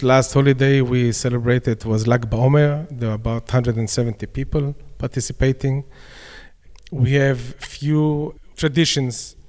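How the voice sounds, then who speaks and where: A man with an Israeli accent answers questions at an easy mid pitch, plain and a little halting. His voice rings with a long room reverb. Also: a little mellow. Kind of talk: casual interview answers. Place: community centre dining room.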